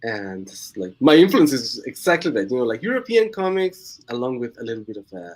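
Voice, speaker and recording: Swiss-Mexican-accented young guy, guest on a stream, lively up-and-down pitch, laughs a lot. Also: a little fast. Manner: chatty, laughs often, restarts words. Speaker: guest comic creator. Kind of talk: casual chat on a live stream.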